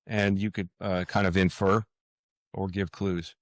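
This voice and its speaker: An older man speaking as an interview guest author, earnest and halting, often stammering and repeating words. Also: low-pitched, little pitch movement, dry recording, clean studio sound.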